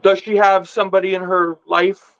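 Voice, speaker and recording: High-voiced man on dull lo-fi audio. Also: level tone, normal speed, no echo. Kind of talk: casual conversation.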